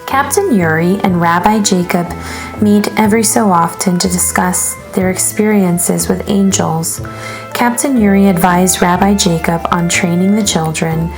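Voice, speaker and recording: A woman narrating a children's book aloud in an expressive storytelling style. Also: mid-range voice, unhurried, soft background music.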